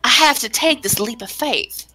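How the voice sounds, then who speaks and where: A woman's voice: a little high, animated, moderate pace, pushed and bright. A woman narrating a visual novel among friends, slipping between scripted reading and giggly, self-mocking, casually sweary asides. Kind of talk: casual group read-aloud, narration mixed with joking.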